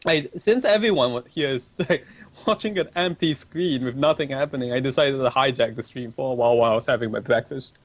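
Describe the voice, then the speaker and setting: A man's voice: medium pitch, brisk, no echo. Chatty young man answering viewers. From Malaysia. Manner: chatty and rambling, reads chat questions aloud. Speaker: livestream host. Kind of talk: casual stream chat, answering viewer questions.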